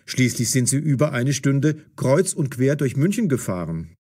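Casting: male story narrator, mid-pitched, lively melody, carefully enunciated. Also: normal speed, slightly rough.

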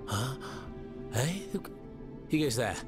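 Theatrical, animated revolutionary agitator. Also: male voice, mid-range voice, slow pace. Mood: flattering, theatrical. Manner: theatrical, flowery, sardonic. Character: theatrical, mocking French revolutionary agitator, zealous and sardonic. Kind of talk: video game cutscene acting. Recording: game music behind the voice.

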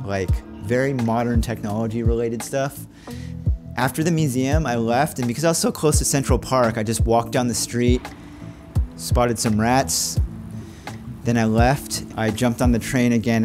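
A man in his thirties chatting casually in a weekly vlog, calling his viewers "my friends", recorded on a handheld mic in a small apartment. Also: medium pitch. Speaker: vlogger. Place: small apartment room, indoors. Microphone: handheld shotgun mic on boom cable.

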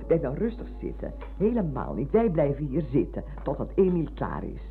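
Casting: motherly, chatty older schoolmistress, very lively delivery, insistent, tinny noisy old radio drama. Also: deep voice, moderate pace, faint music behind, exaggerated voice. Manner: effusive, insistent, hesitating. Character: talkative, motherly older former schoolmistress and wife. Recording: muffled, hissy old radio recording.

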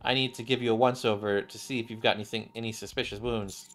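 A man's voice: on the low side, fairly even intonation, quick pace, close to an everyday voice. A man voicing a concerned, composed woman doctor from a game.

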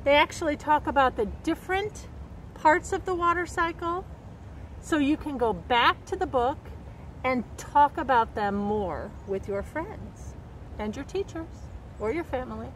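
A middle-aged woman reading a picture book aloud to young children in an expressive, carefully enunciated way, recorded outdoors. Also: sits high, a little slow, low-quality recording. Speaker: storybook reader and nature educator. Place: outdoors by a woodland creek. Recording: outdoor background noise.

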